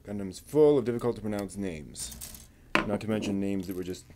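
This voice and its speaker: A man talking himself through assembling a model kit, muttering and pausing between steps. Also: on the low side, background noise. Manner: slow, halting, with many pauses. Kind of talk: casual build narration.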